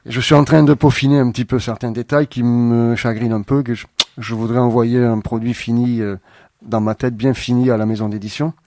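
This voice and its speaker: Male playwright guest, dry studio sound, mid pitch, measured, hesitant phrasing. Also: level tone, warm tone, no echo. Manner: thoughtful, hedging with fillers. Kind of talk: radio interview answers. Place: radio studio.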